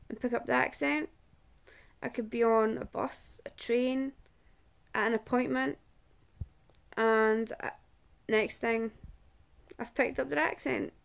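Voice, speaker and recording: Scottish woman, 30s, personal vlog to camera; slow and halting with a raspy fry. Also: a little high. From Scotland. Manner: halting, searching for words with long pauses. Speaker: awareness vlogger and support group organiser. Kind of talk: personal awareness talk to camera.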